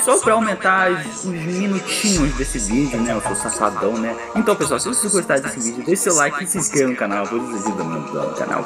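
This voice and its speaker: A Brazilian man narrating a YouTube video in a casual, chatty style. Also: high voice. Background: hip hop music.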